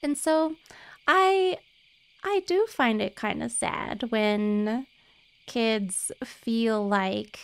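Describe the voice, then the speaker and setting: A young woman chatting casually to viewers as a game livestreamer, expressive and giggly, on a close desk mic. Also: on the high side, smooth, low-quality recording. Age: young adult. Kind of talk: casual chat with stream viewers. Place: indoor room, streaming setup. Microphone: close desk microphone on arm. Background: faint game music.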